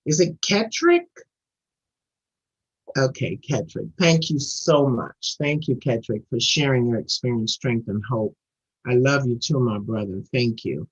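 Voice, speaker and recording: A woman's voice: extremely low pitch, animated, measured pace, breathy edge. A middle-aged woman from Trinidad and Tobago, speaking as the guest at a recovery meeting and sharing her story earnestly, often repeating words as she gathers momentum. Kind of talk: personal recovery share. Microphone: video call. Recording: dull lo-fi video call audio.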